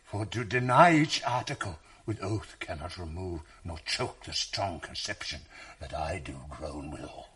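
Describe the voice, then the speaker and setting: An actor playing a tormented, jealous general in a classic verse radio drama, husky and brooding, shifting from hushed whispers to outbursts. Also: male voice, low voice, lively intonation, a little slow, heavily acted. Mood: grave, menacing.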